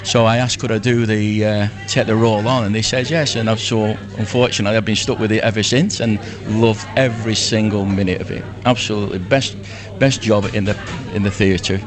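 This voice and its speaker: A man's voice: fairly low pitch, fairly even intonation, brisk, few pauses, music in the background. A man in his sixties with a Lancashire accent, chatting effusively as an interview guest and often repeating himself. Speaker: interview guest, amateur pantomime performer. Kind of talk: casual live radio interview.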